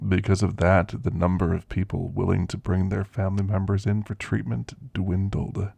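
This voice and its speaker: Man acting a deep, gravelly old werewolf. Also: a little mellow, no room sound, exaggerated voice. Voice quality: gravelly, husky. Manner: slow, understated, reminiscing storyteller. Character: elderly male werewolf, weathered, wry, reflective, scrappy. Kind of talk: ASMR roleplay monologue. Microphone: close, dry microphone.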